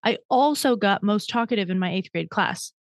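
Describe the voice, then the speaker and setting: A woman's voice: mid-range voice, lively intonation, moderate pace, no echo, clean studio sound. A woman hosting a podcast interview, chatty and self-deprecating, thinking aloud in long winding questions. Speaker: podcast host. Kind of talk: conversational interview.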